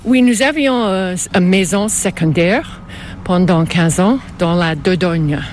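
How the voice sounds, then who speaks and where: Older woman, native English speaker, English Canadian accent, halting delivery, casual interviewee, light vehicle noise behind. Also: fairly low pitch, darkish tone. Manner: halting second-language French.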